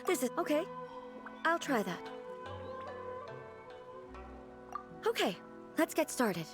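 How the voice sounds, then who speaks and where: An actress playing a dignified, naive immortal female ruler in a video game, speaking in a formal, measured, musing and earnest way. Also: sits high, big pitch swings, over music. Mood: embarrassed, curious.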